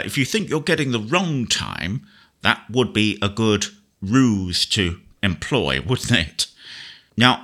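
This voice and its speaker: Bright-voiced man, very animated pitch. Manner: conversational, explanatory. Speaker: presenter demonstrating screen reader software. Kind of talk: casual software tutorial.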